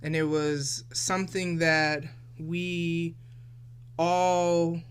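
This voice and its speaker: A woman's voice: lowish voice, level tone, drawn-out words, clear, resonant tone. A woman of forty hosting a casual solo podcast, talking in a reflective, loose and chatty way straight to her listeners. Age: 40s. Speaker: podcast host.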